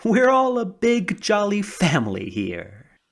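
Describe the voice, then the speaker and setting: Storybook scarecrow, a kindly straw man, low and unhurried, read by a woman. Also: huge pitch swings, full character voice. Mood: cheerful. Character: gentle, cheerful male straw scarecrow.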